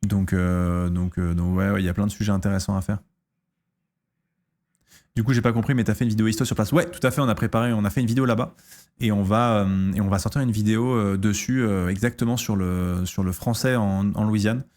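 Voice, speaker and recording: A man in his thirties from France talks casually on his stream, answering viewers' questions. His voice sits a little low, and he halts often, restarting sentences and filling gaps with hesitations. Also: fairly even intonation, brisk, a little mellow. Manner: hesitant, lots of fillers and restarts.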